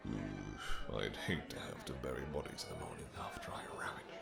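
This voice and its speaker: A man's voice: very deep voice, expressive, normal speed, vocal fry, music in the background, crowd noise, heavily acted. A man playing a possessive, courtly vampire king in a romantic fantasy audio roleplay, speaking silky endearments with mock-polite menace. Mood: doting, flirtatious.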